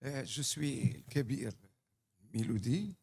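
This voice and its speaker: A man from Morocco, a native Tamazight speaker, speaking from the floor for a human rights group, slow and deliberate. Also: gravelly, husky. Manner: slow, deliberate, lively pitch. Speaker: human rights association representative.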